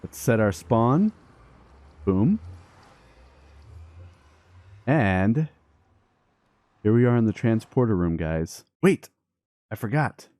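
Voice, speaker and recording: A man's voice: on the low side, slow, pauses often, no echo, studio quality. A man giving casual, playful Let's Play commentary as the host of a gaming video. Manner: playful, excitable.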